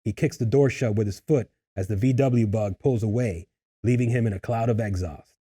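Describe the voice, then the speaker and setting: A man reading screenplay stage directions in a husky voice, as scripted narration at a table read. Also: on the low side, moderate pace, dark tone.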